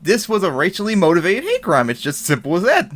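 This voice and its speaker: A man's voice: sits high, huge pitch swings, fast, a bit bright. A man giving heated, profane commentary on an article and news clips, reading passages aloud and reacting. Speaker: commentary vlogger.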